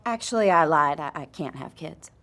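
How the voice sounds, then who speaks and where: A woman's voice: sits low, expressive, moderate pace, a bit bright. An actress playing an outwardly kind, motherly wife in an audio drama, soothing and confiding with a nervous edge. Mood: confessional, edgy. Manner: soothing, confiding, terms of endearment. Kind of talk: naturalistic audio fiction acting.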